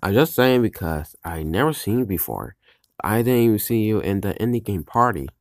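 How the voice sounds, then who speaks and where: A man voicing a brash young male blocky game hero in a fan animation, excitable and jokey. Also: on the low side, moderate pace, no room sound, clean studio sound. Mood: curious. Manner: excitable, jokey, yelps and laughs often. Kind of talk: amateur fan animation voice acting.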